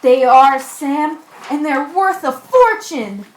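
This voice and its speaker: Woman doing brave no-nonsense ship mate for a radio play, high voice, takes it slow, roomy echo. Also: lively intonation. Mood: curious, determined. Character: bold, practical ship's mate, plucky shipwreck survivor. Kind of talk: amateur radio play acting. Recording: echoey room.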